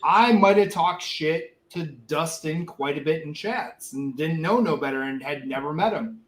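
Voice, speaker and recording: A man's voice: a little high, normal speed. A man in his late thirties hosting a casual group livestream chat. He jokes, swears freely and repeats himself as he talks. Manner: loud, joking, swears freely, repeats words. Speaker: host of a bourbon livestream. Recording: echoey room with faint background noise.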